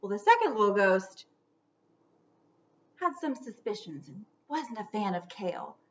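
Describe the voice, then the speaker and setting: A woman reading a children's storybook aloud theatrically, stretching out words and dropping into hushed whispers for suspense. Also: medium pitch, big pitch swings, measured pace, dull audio.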